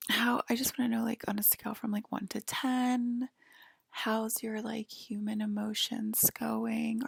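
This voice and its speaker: A woman doing an ASMR roleplay in a breathy whisper and soft talk, reassuring the listener like a clinician and often checking in. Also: a little high, no echo, studio quality. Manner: hesitant, reassuring, clinical patter with frequent checking "okay?".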